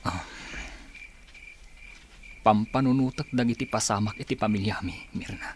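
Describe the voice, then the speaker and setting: A man's voice: lowish voice, normal speed, a little hiss or hum, natural voice. An actor playing a brooding, embittered man in a radio drama. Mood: brooding, bitter. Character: brooding embittered man seeking revenge for his family. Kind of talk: Ilocano radio drama acting.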